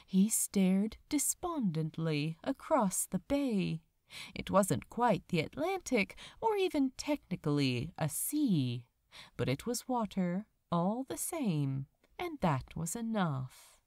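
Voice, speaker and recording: A woman reading fan fiction aloud as a narrator, with measured, expressive storytelling delivery. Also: medium pitch, no room sound, studio quality. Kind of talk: scripted audiobook-style narration.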